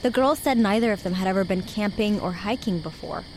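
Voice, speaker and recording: A woman's voice: medium pitch, lively intonation, brisk, darkish tone, slight background noise, close to an everyday voice, rough recording. A woman playing a sarcastic, sceptical but caring young camper in a naturalistic audio drama, talking in a wry, casual, teasing way.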